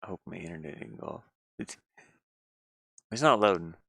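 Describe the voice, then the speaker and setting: A man's voice: low voice, expressive, normal speed, vocal fry, pushed and bright, dry recording, clean studio sound. A man chatting casually and unscripted on a livestream, rambling and muttering to himself in folksy, colloquial speech. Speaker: livestreaming gamer and hobby game developer living in a van. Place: inside a van.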